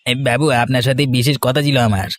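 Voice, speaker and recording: A man's voice: medium pitch, fairly quick, lightly acted. A man playing a sly, scheming, self-righteous villager in a cartoon story, with an insinuating manner. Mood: insinuating, indignant. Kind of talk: dramatic cartoon story dialogue.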